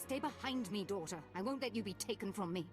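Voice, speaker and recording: A woman's voice: mid-range voice, animated, fast talker, over music, lightly acted. A woman playing a proud, protective middle-aged noblewoman in a video game, speaking in a formal, dramatic and disdainful manner. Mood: fearful, defiant. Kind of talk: video game cutscene acting.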